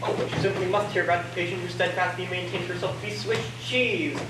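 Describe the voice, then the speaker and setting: A woman's voice: lowish voice, fairly even intonation, quick pace, natural voice. Woman playing a haughty anecdote-teller, echoey stage. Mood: scornful, amused. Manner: insistent, theatrical, snippy. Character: haughty, competitive dinner guest swapping madhouse anecdotes. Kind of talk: amateur stage comedy acting. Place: school theatre stage. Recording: very echoey, distant, noisy stage.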